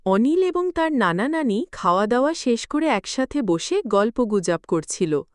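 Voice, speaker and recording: Female storybook narrator, clear and fairly high, dry recording. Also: sing-song, a little slow, no room sound.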